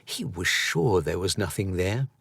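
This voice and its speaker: A British man narrating an audiobook story in an expressive, scripted reading style. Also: deep voice, measured pace, vocal fry, husky, no room sound.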